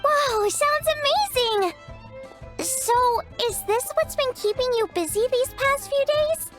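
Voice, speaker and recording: A cheerful young woman cook from a video game. She chats eagerly in a very high, lively voice. Clear game music runs underneath. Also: slow pace, exaggerated voice. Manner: chatty, eager. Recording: game audio with music behind.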